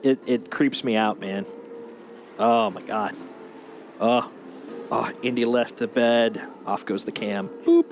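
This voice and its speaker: A man's voice: mid-range voice, fairly even intonation, takes it slowly, long pauses, faint music behind. A man chatting casually and playfully with friends as he streams a game live. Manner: playful, banters and mock-pleads. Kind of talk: casual gameplay chat with friends.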